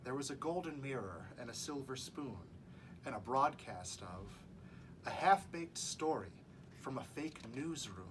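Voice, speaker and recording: Mid-pitched man reading in noisy room. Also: lively intonation, unhurried, fairly bright tone. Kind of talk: picture-book read-aloud.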